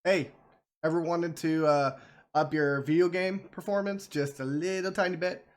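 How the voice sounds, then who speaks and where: A man's voice: on the high side, fairly even intonation, normal speed. A man hosting a gameplay stream, chatting casually to viewers in a rambling, repetitive way. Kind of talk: casual chat to viewers, reacting to game cutscenes.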